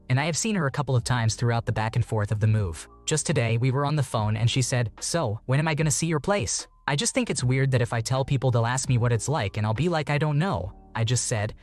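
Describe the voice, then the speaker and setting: A man reading forum stories aloud as a scripted narration and adding short comments of his own, in a brisk, even reading voice. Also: medium pitch. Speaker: narrator reading online posts. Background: background music.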